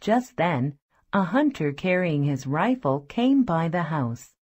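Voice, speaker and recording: A woman's voice: deep voice, big pitch swings, slow, darkish tone, breathy edge. A woman narrator reading a scripted fairy tale for children. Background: faint music.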